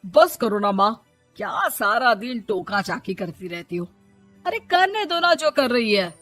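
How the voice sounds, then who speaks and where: Woman playing an exasperated family member, fairly high pitch, sarcastic, scolding, cartoon dialogue, light music behind. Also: sing-song, measured pace, fairly bright tone. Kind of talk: Hindi moral-story cartoon dialogue.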